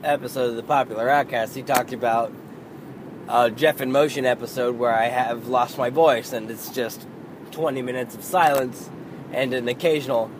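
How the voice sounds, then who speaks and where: A man in his thirties hosting a casual solo podcast, hoarse and losing his voice, rambling and halting, swearing under his breath. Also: mid-range voice, steady tone, background noise. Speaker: podcast host.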